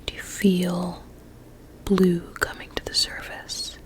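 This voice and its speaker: A woman playing a vain, sinister blueberry doctor in an ASMR medical roleplay, speaking in a soft breathy whisper with soothing menace. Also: lowish voice, level tone, slow pace, low-quality recording. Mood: probing, clinical.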